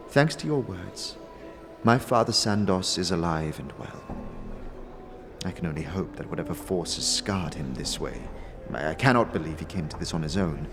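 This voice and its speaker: A man voicing a solemn, aloof mystic noblewoman with formal, measured speech and dramatic pauses. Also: low-pitched, pitched below the performer's own voice. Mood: grateful, formal.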